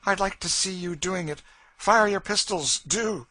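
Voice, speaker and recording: A man voicing a cold, mocking woman who taunts her cruel master, in a slow, sneering, eerie way. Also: high voice, sharp tone, no room sound, voice pushed up, heavily acted. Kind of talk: audiobook character voice.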